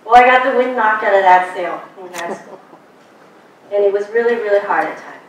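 A woman's voice: mid-range voice, fairly quick. Young woman speaking in a very echoey hall. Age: young adult. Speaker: graduate student commencement speaker. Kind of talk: prepared commencement speech. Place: large indoor lecture hall. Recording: distant, very echoey hall, faint noise.

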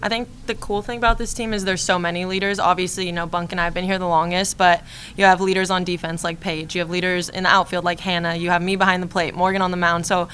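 A young woman in her twenties, a college softball catcher, answering postgame press questions at a desk mic, calm and steady, repeating key phrases. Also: on the low side, fast talker, a little hiss or hum. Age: 20s. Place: press room in front of a team backdrop. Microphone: desk mic on a stand.

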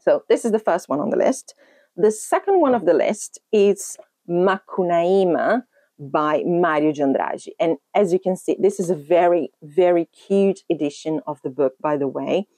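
A woman's voice: fairly low pitch, lively intonation, moderate pace, warm tone, dry recording, clean studio sound. A woman in her thirties from Brazil, native Portuguese speaker, chatting casually in a home book haul vlog and thinking aloud with ums and restarts. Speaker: book channel host. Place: room at home in front of a bookshelf.

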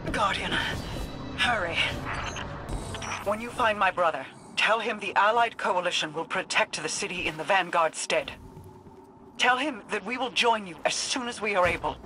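A woman's voice: medium pitch, moderate pace, long pauses, a little hiss or hum, natural voice. Regal, grave mystic queen played by a woman. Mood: strained, solemn. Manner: formal, solemn, dramatic. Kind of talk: video game voice acting. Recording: loud game music behind.

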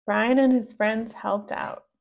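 A woman reading a children's storybook aloud in an expressive narrating style. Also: fairly high pitch, takes it slowly, gravelly, phone-quality sound. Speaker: storybook reader.

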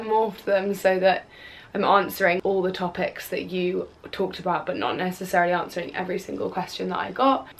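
A young woman talks straight to a camera at home, chatty and meandering as she answers questions. Her voice is bunged up from a cold. There's a gravelly fry at the ends of her phrases. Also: on the low side. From Wales. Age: 20s. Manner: chatty, rambling with fillers and restarts. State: stuffy nose from a cold. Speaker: cook and influencer. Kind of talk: casual Q&A vlog to camera. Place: bedroom at home, indoors. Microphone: camera on a tripod in front of her.

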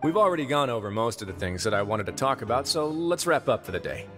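An actor playing a composed, authoritative young warden in a video game, speaking in a measured, self-assured way. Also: male voice, mid-range voice, very animated, a bit bright. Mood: calm, casual. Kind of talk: video game character dialogue. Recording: faint music behind.

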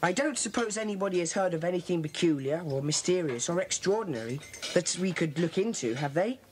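A woman playing an earnest, bossy schoolboy club leader in a children's audio drama, brisk and authoritative. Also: lowish voice, lively intonation, a little mellow, slight background noise, close to an everyday voice. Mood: earnest, organising.

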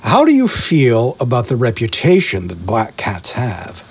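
Husky, polite male podcast interviewer. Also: mid-range voice, wildly swooping pitch, measured pace. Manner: hesitant, polite. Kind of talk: conversational scripted mock interview.

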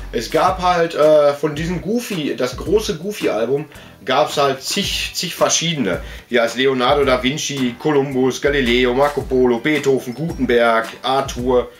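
A man's voice: medium pitch, a little slow, faint music behind. A man, chatting casually in a rambling, colloquial way that often interrupts itself. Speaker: video host showing collectibles. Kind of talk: casual vlog chat. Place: indoor room full of collectibles.

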